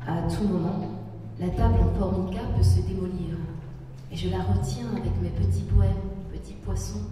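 A woman's voice: on the low side, fairly even intonation, moderate pace, noisy background. A woman from northern France, whose first language is Berber, giving a reflective, poetic spoken-word performance. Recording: roomy hall recording from far off with music.

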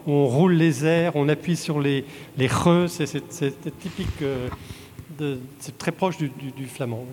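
A man from Belgium, a translator, answering audience questions in a halting way with frequent restarts. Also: fairly high pitch, darkish tone, a little hiss or hum. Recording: long hall reverb.

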